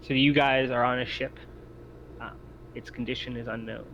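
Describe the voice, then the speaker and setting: A man's voice: mid-range voice, flat delivery, moderate pace, a bit bright, muffled recording, a little hiss or hum. A man acting as game master, improvising tabletop game narration in a hesitant, explanatory way.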